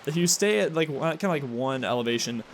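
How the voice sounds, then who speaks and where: A tired man with a slightly raspy voice and occasional cracks, chatting casually as he comments on a video game he plays. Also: medium pitch, expressive, a little hiss or hum. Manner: rambling, chatty, quick asides and chuckles. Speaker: let's play host. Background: game music.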